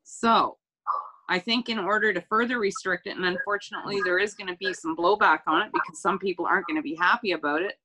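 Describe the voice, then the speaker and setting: A woman's voice: medium pitch, fairly even intonation, quick pace, background noise. A woman town councillor making her case in a firm, methodical way on a video call. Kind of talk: informal meeting argument. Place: remote video call from a room.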